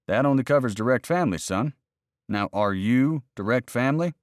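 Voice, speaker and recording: A man's voice: mid-range voice, expressive, normal speed, no room sound, clean studio sound, pitched above the performer's own voice, close to an everyday voice. A man voicing a stern, officious male game warden in a read-aloud story, clipped and addressing people as son.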